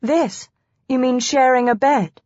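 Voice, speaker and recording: A woman's voice: medium pitch, moderate pace, darkish tone, dry recording, lightly acted. A woman voicing a spirited, emotional young wife in a historical romance audiobook, with old-fashioned phrasing. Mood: surprised. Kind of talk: audiobook character dialogue. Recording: dry, slightly dull close recording.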